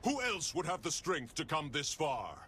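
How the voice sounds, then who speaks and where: Proud obsessed leader, commanding, scornful, bright voice, slightly raised pitch, game cutscene. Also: male voice, sing-song, moderate pace, faint music behind. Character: obsessed male Sith-Jedi leader, proud and grim. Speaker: video game character.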